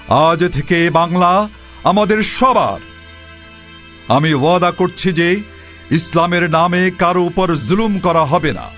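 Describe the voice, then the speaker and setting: A man voicing a conquering general who makes a formal, measured proclamation to the people. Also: a little high, fairly bright tone. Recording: voice over background music. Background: music.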